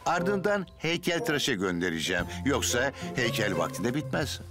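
A man plays an older cartoon official with a medium-pitched, very animated voice. He is fussy, fawning and flustered, and loud music plays behind him. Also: normal speed, natural voice. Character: fussy, flattering, anxious older male mayor's assistant.

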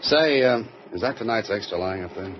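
An actor playing a wry mystery writer on the run, answering everyone with dry wisecracks, on an old radio drama recording. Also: male voice, fairly low pitch, lively intonation, normal speed, dull audio, slight background noise, close to an everyday voice. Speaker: lead actor and narrator.

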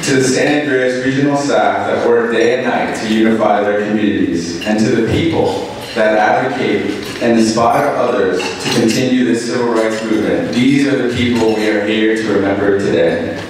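A man's voice: on the low side, normal speed, far from the mic. A young man emceeing a breakfast event from a podium, upbeat and now and then stumbling over his words. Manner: upbeat, stumbles and corrects himself. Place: large banquet hall. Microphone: podium mic from a distance. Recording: echoey hall recorded from afar with noise.

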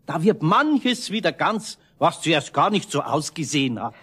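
An actor playing an older, grumbly but kindly master craftsman with a husky voice and Bavarian accent in a children's radio play. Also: fairly high pitch, sing-song, unhurried, bright, forceful tone, full character voice. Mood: consoling. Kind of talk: 1970 German children's radio play acting.

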